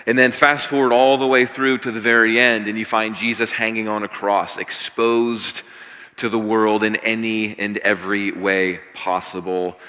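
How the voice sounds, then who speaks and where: American man, pastor, conversational sermon, slightly scratchy, tense bright tone, emphatic pauses, reverberant hall, slightly dull recording. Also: fairly low pitch, little pitch movement. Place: large room, speaking to a congregation.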